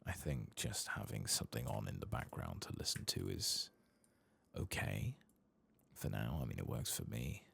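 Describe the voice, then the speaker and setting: Male, extremely low voice with heavy fry, soft and hushed ASMR game commentary, musing and unhurried. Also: animated. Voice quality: soft, hushed, breathy at times. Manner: unhurried, musing, murmuring to himself while playing. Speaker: gaming video creator.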